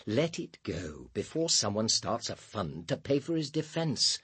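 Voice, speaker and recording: An actor playing a pragmatic station boss in a radio drama, reasoning and persuasive. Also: male voice, lowish voice, sing-song, unhurried, fairly bright tone, slightly rough, no echo, full character voice. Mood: exasperated, urging.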